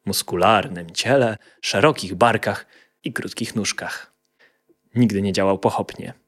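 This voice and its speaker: A man's voice: lowish voice, measured pace, a bit bright, slightly rough. A man narrating a scripted children's fairy tale as a storyteller.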